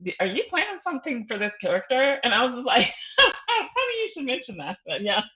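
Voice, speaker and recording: A woman's voice: high-pitched, big pitch swings, a little fast, pushed and bright. A woman speaking as a guest author in a casual interview, conversational and thinking aloud, with self-deprecating laughs. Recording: slightly dull, muffled audio.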